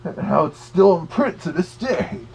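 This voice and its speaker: A man's voice: high voice, lively intonation, normal speed, vocal fry, darkish tone, slightly rough, exaggerated voice. A man voicing a cartoon Big Bad Wolf who gives chatty, slightly hesitant commentary as a record reviewer. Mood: chatty, informative.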